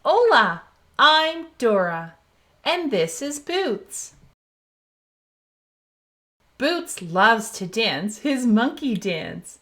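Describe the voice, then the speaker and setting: A woman's voice: fairly high pitch, sing-song, very slow, pauses often, fairly bright tone, exaggerated voice. A woman reading aloud as a cheerful young girl explorer in a children's storybook, asking listeners questions and praising them. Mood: cheerful, encouraging. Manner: asks the listener questions, then praises the answer.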